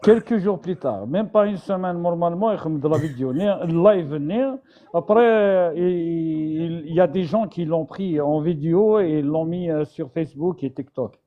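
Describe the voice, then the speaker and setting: A man's voice: fairly high pitch, normal speed, narrow, tinny audio. A man joining a live stream by call, who agrees, hesitates and mixes in Arabic words. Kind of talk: casual live-stream chat.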